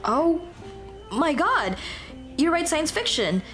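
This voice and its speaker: A woman's voice: high-pitched, slow, soft background music, heavily acted. A woman voicing a cheerful headless Dullahan on a date in a scripted ASMR roleplay, chatting playfully and directly to the listener. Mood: chatty, explaining. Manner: playful, animated, punning, speaking directly to the listener.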